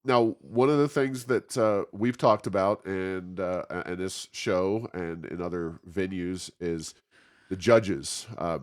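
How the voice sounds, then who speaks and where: A man hosting a conversational interview, phrasing his questions in a halting, searching way. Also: low voice. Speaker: interview host.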